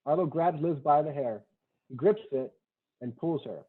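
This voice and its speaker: A man's voice: fairly high pitch, normal speed. A man reading screenplay stage directions aloud at a table read, matter-of-fact, in short clipped sentences. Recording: thin, phone-like online call audio.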